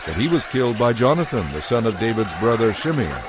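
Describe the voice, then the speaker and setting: A man's voice: lowish voice, very animated, brisk, background noise. A man narrating a dramatized audio Bible from a script.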